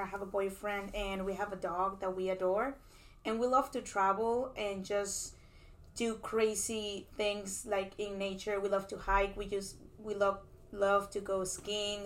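Flat-voiced young woman from Peru. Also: mid-range voice. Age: young adult. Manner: chatty, storytelling with many likes and restarts. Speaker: PhD student guest. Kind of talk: casual interview. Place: conference venue.

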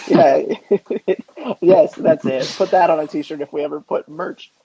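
A man's voice: a little high, slow, a crowd in the background, poor audio. A man co-hosting a casual sports podcast, bantering in an opinionated, incredulous and sarcastic way. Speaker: podcast co-host.

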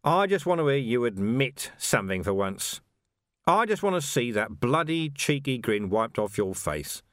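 Gruff weary cop for audiobook; male reader doing a curt, growling police chief, dry booth. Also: medium pitch, big pitch swings, normal speed, fairly bright tone, no room sound. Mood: stern. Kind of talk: audiobook character voice.

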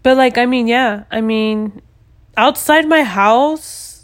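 A woman in her twenties from the United States, hosting a podcast in loud, blunt casual chat and swearing freely. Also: fairly high pitch, slow. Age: 20s. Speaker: podcast host.

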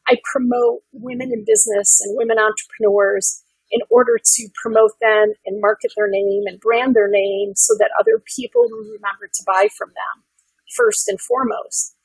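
A woman's voice: a little high, expressive, moderate pace, vocal fry, breathy edge, no room sound, rough recording. A woman giving conversational answers as an interview guest, earnest and thinking aloud as she goes. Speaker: podcast guest, women's business advocate.

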